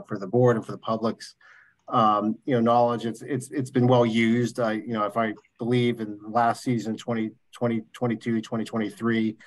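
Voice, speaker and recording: A man presenting as a development officer at a board meeting over a video call, halting, with many fillers and restarts. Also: mid-range voice, low-quality recording.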